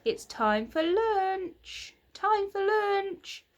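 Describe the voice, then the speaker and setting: A woman voicing a kindly storybook mother calling her children in a loud, drawn-out sing-song. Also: very high voice, very animated, voice pushed up, full character voice.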